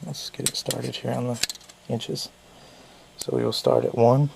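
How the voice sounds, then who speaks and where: A man's voice: mid-range voice, level tone, measured pace, creaky, a little hiss or hum. A man, a little sick and losing his voice, giving a rambling casual knife review with frequent self-corrections.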